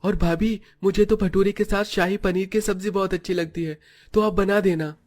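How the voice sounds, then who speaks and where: A man's voice: high-pitched, fairly even intonation, normal speed, darkish tone, voice pushed up, lightly acted. A man voicing an eager young brother-in-law in a cartoon story.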